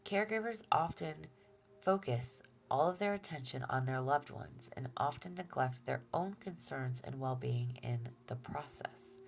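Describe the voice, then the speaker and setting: A woman giving a gentle tarot reading, soft-spoken and reassuring, who often drops to a near-whisper and talks through a stuffy nose. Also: rumbling low, measured pace, creaky, slight background noise. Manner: gentle and reassuring, with fillers and restarts. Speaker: tarot reader. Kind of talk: relaxed tarot reading to viewers.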